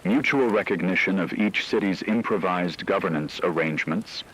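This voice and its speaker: A man playing a grave radio announcer, reading scripted news in a measured, formal way. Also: low voice, a bit bright, a little hiss or hum, poor audio. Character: grave male radio announcer reporting on global collapse.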